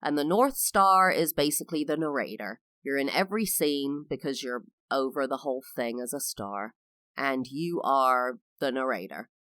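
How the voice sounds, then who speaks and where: Female podcast host from England, very low pitch, chatty and explanatory, dry booth sound. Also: lively intonation, normal speed, fairly bright tone, no echo. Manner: personal, explanatory, with frequent fillers. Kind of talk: solo podcast monologue.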